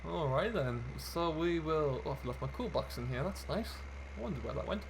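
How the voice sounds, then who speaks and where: A man's voice: on the high side, lively intonation, normal speed, vocal fry, background noise. A man hosting a gaming video, giving casual, chatty role-play commentary and talking himself through the driving as he plays.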